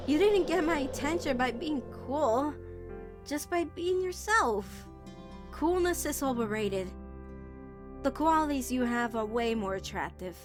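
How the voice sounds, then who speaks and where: A woman voicing an affectionate, gently teasing teenage girl in a cartoon comic dub, direct and reassuring, with music underneath. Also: high-pitched. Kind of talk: animated-series comic dub acting.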